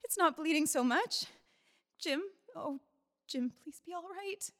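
A woman's voice: high voice, lively intonation, unhurried, a little hiss or hum. An actress playing a desperate young wife who is nursing her shot husband, speaking in an urgent, coaxing way and praying under her breath.

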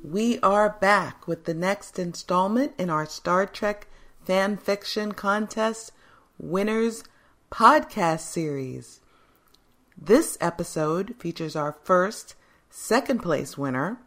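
A woman's voice: mid-range voice, animated, takes it slowly, a bit bright, roomy sound. A woman hosting a podcast, announcing and bantering in a casual, chatty way. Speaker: podcast host.

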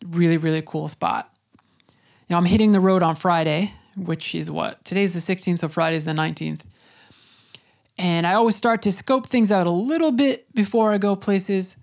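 Woman, podcast host, casual chat, upbeat, filler-heavy, lower voice. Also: normal speed, gravelly, a little mellow. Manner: chatty, upbeat, lots of fillers. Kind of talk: casual chat and intro monologue.

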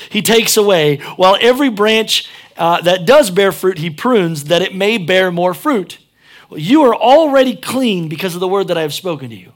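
A pastor preaching a sermon, chatting and joking, then driving his points home with emphatic peaks. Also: on the high side.

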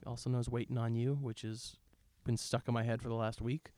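A man's voice: mid-range voice, flat delivery, a little fast, mellow, dry recording. Jokey male podcast host, dry close sound. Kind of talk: casual banter between co-hosts.

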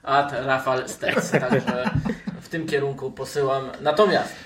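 A man's voice: medium pitch, fairly even intonation, unhurried, noisy background. A man hosting a football discussion podcast, steering the talk with hesitant questions and asides. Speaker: podcast host. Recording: roomy, distant microphone.

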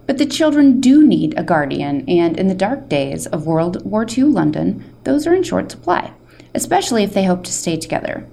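A woman reading a book aloud as a storytelling host, with measured, expressive narration. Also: mid-range voice, creaky.

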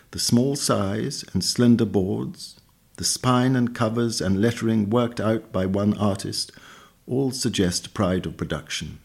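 A man reads a scripted literary essay in a measured, bookish way. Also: fairly low pitch, a little mellow, breathy edge. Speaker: reader of a magazine essay. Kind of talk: scripted narration, read essay.

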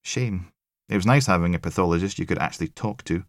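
A man's voice: sits low, fast, no room sound, clean studio sound. A man voicing a weary, blunt, foul-mouthed female forensic technician in a crime audiobook. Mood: wry, grumbling.

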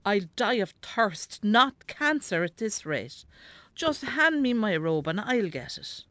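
An actress playing a weary, sharp-tongued elderly Irish mother in a naturalistic radio drama, grumbling bluntly. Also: medium pitch, big pitch swings, takes it slowly, no echo, exaggerated voice. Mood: scolding. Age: older.

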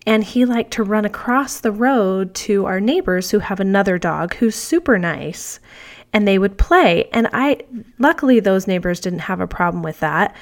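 A woman's voice: medium pitch, lively intonation, moderate pace. Brief: woman podcast host, 30s-40s, nasal and congested from a cold, upbeat casual monologue. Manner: chatty and upbeat, with fillers. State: stuffy nose from a cold.

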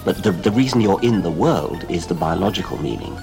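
A man giving a deliberate spoken explanation, qualifying as he goes, over music. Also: low-pitched, animated, creaky.

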